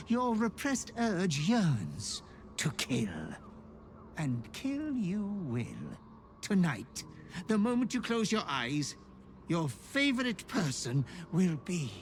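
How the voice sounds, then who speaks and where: Game casting: servile, sly demonic manservant, theatrical and gleefully cruel, extremely animated melody, unhurried delivery. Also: male voice, on the high side, a little hiss or hum, exaggerated voice. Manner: theatrical, obsequious, savoring words with drawn-out phrasing. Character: sly servile goblin-like fiend butler, gleefully murderous, fawning. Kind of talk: video game character acting.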